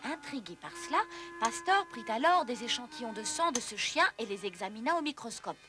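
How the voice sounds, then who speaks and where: A woman's voice: fairly high pitch, lively intonation, brisk, music in the background. A woman reading scripted narration for an educational cartoon in an explanatory, storytelling manner.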